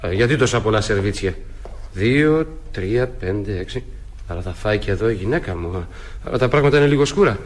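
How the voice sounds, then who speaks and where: A man's voice: lowish voice, lively intonation. A man playing a sly, flustered philandering husband in a stage farce, exclaiming and repeating his phrases. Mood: uneasy. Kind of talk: theatrical stage comedy acting.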